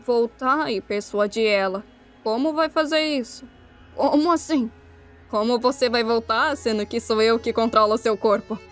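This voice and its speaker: A fairly high-voiced Brazilian schoolgirl possessed by a devil as a woman acts her, in an anime-style dub. Her delivery is blunt and questioning, with music behind it. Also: normal speed, natural voice. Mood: cold, commanding. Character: troubled schoolgirl and cold devil sharing her body. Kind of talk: anime-style fan dub of a motion manga.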